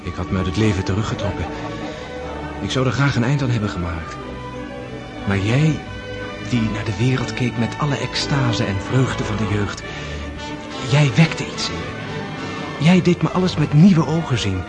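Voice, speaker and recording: A courtly prince played by a male actor in a period radio play, formal and gallant, with a tender undertone of someone once tired of life. His voice sits at a medium pitch, and loud music plays behind him. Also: animated, moderate pace, long pauses, natural voice. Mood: earnest, tender. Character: courtly, once world-weary prince, tenderly smitten with a young countess. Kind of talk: period radio drama acting.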